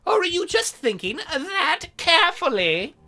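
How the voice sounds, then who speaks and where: A man voicing a prim, teasing young female demon from a video game, questioning in a slow, coy way. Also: very high voice, sing-song, bright, forceful tone, soft background music, voice pushed up, exaggerated voice. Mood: curious.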